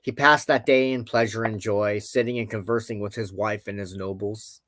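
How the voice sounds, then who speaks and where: Low-voiced man reading a legend. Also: fairly even intonation, normal speed, fairly bright tone, no echo. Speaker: host, storyteller.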